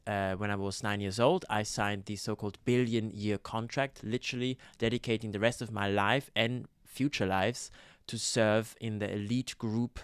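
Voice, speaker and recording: A man, telling his own story in a gentle, campy vlog, with hesitant fillers. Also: on the low side, clean tone, dry recording, clean studio sound. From Switzerland. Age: 30s. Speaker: YouTuber, ex-Scientologist. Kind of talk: personal testimony vlog.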